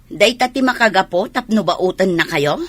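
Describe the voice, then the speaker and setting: A woman with an Ilocano accent playing a concerned, inquiring neighbour aunt in a radio comedy drama, her voice husky. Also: mid-range voice, animated, moderate pace, a bit bright, dry recording. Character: concerned neighbour aunt questioning frightened children.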